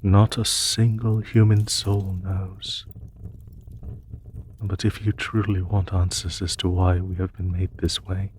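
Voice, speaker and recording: A man playing an ancient, courteous masked demon emperor in an audio roleplay, telling his tale in a formal, measured, gentle way. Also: deep voice, level tone, a little hiss or hum. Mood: solemn. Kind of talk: scripted audio roleplay monologue.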